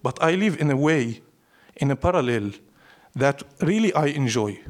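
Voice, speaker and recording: A man's voice: medium pitch, lively intonation, normal speed. A man from Syria with a Syrian Arabic accent, a native Arabic speaker, answering as a poet in a live interview with emphatic, declarative short phrases. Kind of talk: live interview, reflective storytelling.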